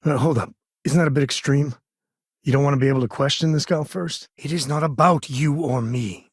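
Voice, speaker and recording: A man voicing a rugged, good-hearted space explorer and father in a video game, with a husky rasp and a folksy, earnest way of talking. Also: a little high, fairly quick, no echo, clean studio sound. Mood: uneasy. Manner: folksy, colloquial, earnest delivery.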